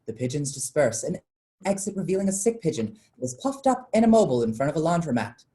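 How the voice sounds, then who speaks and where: A woman's voice: low voice, big pitch swings, quick pace, mellow. A woman reading stage directions as scripted narration for a staged play.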